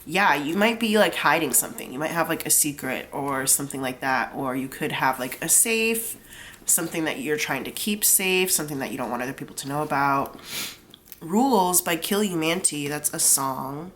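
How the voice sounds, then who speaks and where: A woman's voice: low-pitched, expressive, moderate pace, a bit bright, slight background noise. A young woman with a stuffed-up nose from a cold, chatting casually through a tarot reading and explaining each pull with lots of "like". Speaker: tarot reader.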